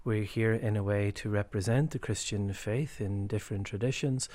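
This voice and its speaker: A man from Derry with a Northern Irish accent, an archbishop speaking thoughtfully with frequent pauses and fillers. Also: on the low side, steady tone, a little mellow. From Derry, Northern Ireland. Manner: thoughtful, hesitant with many fillers. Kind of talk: conversational joint address.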